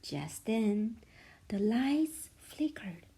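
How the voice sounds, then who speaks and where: A woman reading a children's storybook aloud as a parent would, expressively, with a hushed sense of suspense. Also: fairly high pitch, sing-song, very slow, a little hiss or hum.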